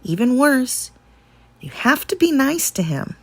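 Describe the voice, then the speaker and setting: A woman voicing a calm, sly dad in a children's storybook, speaking deliberately and confidingly. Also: sits high, slightly rough, voice pushed up, exaggerated voice. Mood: quiet, serious. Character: sly, calm dad sharing a secret recipe.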